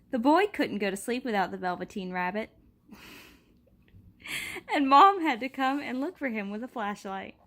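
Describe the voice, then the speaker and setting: Woman gently reading a storybook aloud. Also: a little high, animated, unhurried, pauses often. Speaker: storybook reader. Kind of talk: reading a picture book aloud.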